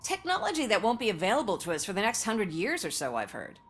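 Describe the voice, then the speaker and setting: Sardonic commercial actress, dry chatty talk, game character. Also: female voice, mid-range voice, wildly swooping pitch, fast talker. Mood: wry. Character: wry woman commercial actress, candid and sardonic.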